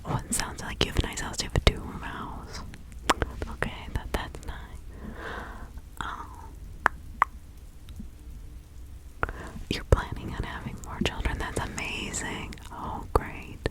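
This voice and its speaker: A woman voicing a kindly, chatty older village witch in an ASMR roleplay, speaking in a breathy, halting, confiding whisper. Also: lightly acted, rough recording. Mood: curious, slightly confused. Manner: slow, halting, soothing, confiding.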